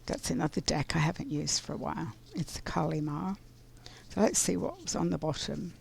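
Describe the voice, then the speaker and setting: A woman with a scratchy voice from pollen, doing a casual oracle card reading in an unhurried, reflective way. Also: low-pitched, very animated, creaky, a little mellow, breathy edge. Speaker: spiritual card reader.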